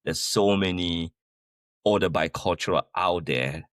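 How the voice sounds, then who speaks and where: A man from Guinea, a podcast guest and company founder, talking in an animated, casual way with lots of "like" fillers and restarts. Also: sits low, unhurried, no room sound, clean studio sound. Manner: animated, speaks with many "like" fillers and rephrasing. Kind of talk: casual conversational interview. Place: the Netherlands.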